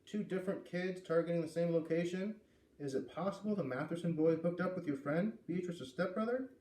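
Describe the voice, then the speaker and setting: A calm middle-aged father from a storybook , read by a man. He is measured and matter-of-fact with a soft, mellow tone, picked up from a little way off in a roomy space. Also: high-pitched, pitched above the performer's own voice, lightly acted. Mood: inquiring. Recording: roomy, distant mic with light noise.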